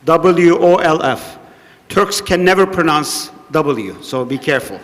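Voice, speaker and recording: A man with a Turkish accent, an imam on a panel, telling a story slowly and joking about his own accent. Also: fairly high pitch, expressive, a bit bright. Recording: reverberant hall.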